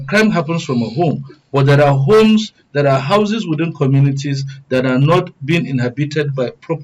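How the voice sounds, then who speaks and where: A man's voice: on the high side, low-quality recording. A man with a Nigerian accent giving formal, wordy panel commentary, arguing point by point as a studio guest. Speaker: studio guest, good governance advocate.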